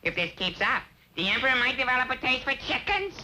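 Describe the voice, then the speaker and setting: A woman plays a brisk, slangy cartoon duck who bosses the pond. The voice is fairly high and very piercing, over light soundtrack noise. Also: sing-song, bright, forceful tone, full character voice. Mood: matter-of-fact. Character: brisk, streetwise pond duck, gang spokesbird. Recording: noisy old TV cartoon soundtrack.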